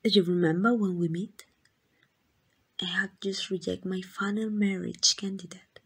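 A woman with a Mexican accent playing a tender, devoted queen in a romantic ASMR roleplay, speaking in gentle, intimate, breathy whispers. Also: mid-range voice, fairly even intonation, normal speed. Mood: tender, wistful. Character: tender, devoted queen in secret love with a commoner.

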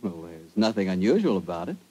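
A man announcing on 1940s radio, delivering a sponsor pitch in a folksy, salesmanlike manner. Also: sing-song, fast, darkish tone, slightly rough.

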